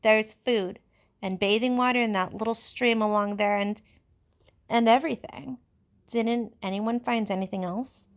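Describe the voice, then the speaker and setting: A woman voicing an excitable, bossy schoolboy in a storybook, eager and exclamatory. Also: on the high side, measured pace. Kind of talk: storybook character voice.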